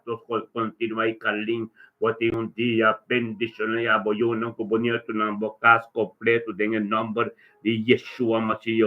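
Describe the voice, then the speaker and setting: Male preacher, lowish and monotone, leading improvised fervent prayer with repetitive chanting invocations; thin telephone-like audio. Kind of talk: fervent extemporaneous group prayer. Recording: thin, narrow phone-like sound.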